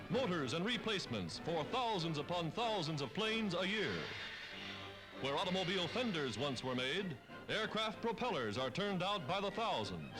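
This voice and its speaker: A man's voice: high voice, rough recording. An American man narrating a scripted 1940s newsreel in a dramatic, emphatic, declamatory manner, on a vintage film soundtrack. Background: orchestral music.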